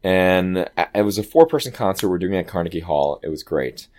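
A man with a low voice, an actor telling stories in a casual interview and acting out quoted lines. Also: fairly quick. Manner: animated, chatty, acts out quoted dialogue. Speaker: Broadway actor guest.

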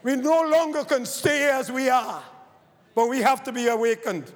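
Barbadian male preacher, very high voice, long hall reverb. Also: normal speed. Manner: reads scripture quietly, then rises to fervent shouted preaching. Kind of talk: sermon.

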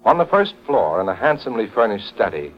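A man's voice: fairly low pitch, very animated, brisk. A man announcing and narrating in an emphatic, theatrical 1940s radio style. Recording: dull vintage radio recording, loud music.

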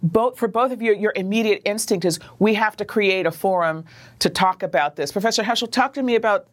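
A woman's voice: medium pitch, a little fast. A woman interviewer on a news program, asking thoughtful questions with some fillers and restarts.

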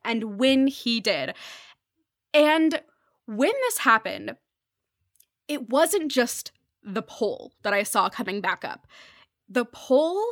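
Woman, podcast guest, slightly high pitch, dry close sound, casual, enthusiastic, informal. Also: fairly bright tone, dry recording. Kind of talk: casual chat about fandom culture.

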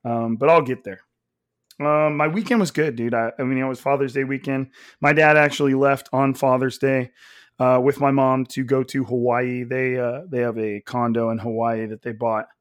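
Raspy nasal guy hosting a sports podcast, kinda hoarse, loud and pumped, dry close sound. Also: mid-range voice, normal speed, dry recording. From Central California. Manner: talks loudly, energetic. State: hoarse from coaching. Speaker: podcast host. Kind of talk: casual sports podcast chat.

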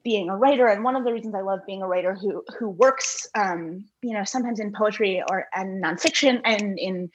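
A woman giving thoughtful interview answers in an earnest, halting way, repeating words as she reasons. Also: medium pitch, lo-fi sound. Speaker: guest author, poet and essayist.

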